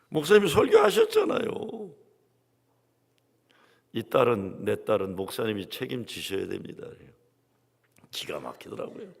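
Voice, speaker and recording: Guest pastor, male, gravelly voice, swinging delivery, long church-hall reverb. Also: medium pitch. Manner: lively storytelling, quoting congregants, dramatic drops to near whispers. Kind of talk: revival sermon with storytelling. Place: large church sanctuary.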